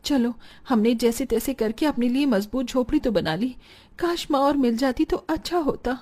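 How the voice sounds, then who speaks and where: A woman's voice: high-pitched, lively intonation, normal speed, darkish tone. A woman playing an emotional, devoted woman of a poor village in a cartoon moral story, with a plaintive manner. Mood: sad, resolute. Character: distressed, devoted women of a poor flood-hit village.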